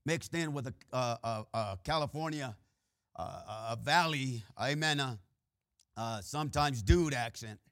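Male preacher, Mexican-Texan accent, bright forceful tone, filler-heavy delivery. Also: medium pitch, measured pace, no room sound, clean studio sound. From Mexico and Texas. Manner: frequent "uh" and "amen" fillers, swings between loud preaching and quiet asides. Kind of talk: sermon.

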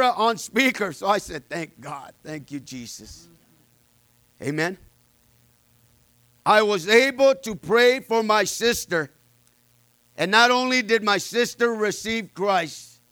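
Male preacher, high ringing voice, loud and fervent, amens throughout, dry sound. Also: no echo. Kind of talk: sermon with personal testimony.

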